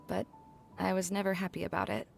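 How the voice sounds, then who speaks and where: A woman's voice: medium pitch, flat delivery, brisk, vocal fry, faint music behind. An actress playing a downcast, weary young woman in a video game, speaking in a reflective, confiding way. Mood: wistful, dejected.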